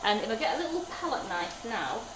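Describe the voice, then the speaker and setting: A woman's voice: a little high, very animated, brisk. A woman with a Manchester accent demonstrating a recipe live in a kitchen, talking through each step as she works. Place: home-style kitchen. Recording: echoey kitchen with background hum.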